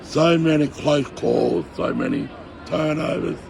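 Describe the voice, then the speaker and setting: A hoarse man with a worn-out voice, vlogging excitedly from a football stadium and shouting along with the game. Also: fairly high pitch, unhurried. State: hoarse, voice shot. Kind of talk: casual vlog chat at a match. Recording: loud stadium crowd and noise behind.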